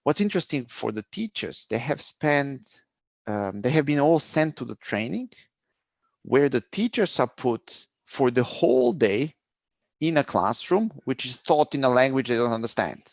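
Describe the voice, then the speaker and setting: A man's voice: mid-range voice, big pitch swings, moderate pace, vocal fry, no echo, highs cut off. A middle-aged man from Serbia, a guest answering interview questions thoughtfully, often restarting sentences. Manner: thoughtful, searching for words, frequent restarts.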